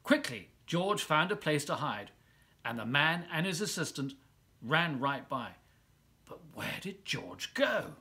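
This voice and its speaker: A man reading a children's picture book aloud as a volunteer storyteller, expressively, with drawn-out words and dramatic pauses. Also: a little high, very animated, bright, forceful tone.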